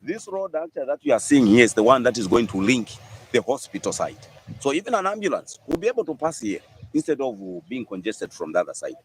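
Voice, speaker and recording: A man with a Zambian accent and a husky voice explains local works informally, as a council official speaking to press. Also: mid-range voice, very animated, fast, a little hiss or hum.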